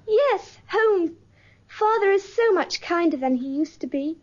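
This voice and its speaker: A woman's voice: very high-pitched, animated, unhurried, a little mellow. A woman playing an eager little sister. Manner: breathless and affectionate. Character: eager, loving young girl, a little sister. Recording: dull lo-fi recording.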